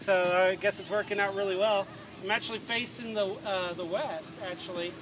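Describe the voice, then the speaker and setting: A man's voice: sits high, fairly even intonation, a little fast. A man chatting casually over ham radio outdoors. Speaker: amateur radio operator. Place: outdoors in a beachside parking lot. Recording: distant, very noisy outdoor recording.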